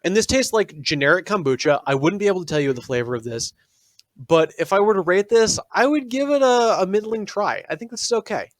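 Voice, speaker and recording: A man with a fairly high voice, reviewing drinks on a podcast in a wry, deliberate way. The sound is close and dry, with no room around it. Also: animated, no room sound. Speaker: podcast co-host. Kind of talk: casual chat. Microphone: studio mic.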